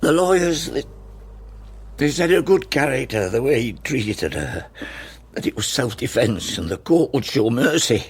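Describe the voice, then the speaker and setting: An actor playing a guilt-ridden older father in a radio drama, with a rough, husky voice and halting, broken phrases. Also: mid-range voice, big pitch swings, heavily acted. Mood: anguished. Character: haunted older father, guilt-ridden, burdened by childhood secret.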